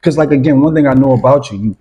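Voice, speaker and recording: A man interviews a guest in easy, rambling street talk, repeating himself as he builds a point. His voice is husky and mellow, with a relaxed, unhurried warmth. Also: mid-range voice. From Philadelphia. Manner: loose, rambling, repeats words, slangy. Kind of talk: casual interview chat.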